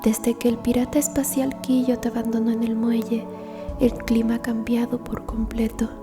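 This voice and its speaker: A woman narrating a scripted ASMR story in a soft, hushed, breathy whisper. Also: fairly high pitch, level tone, moderate pace, over music.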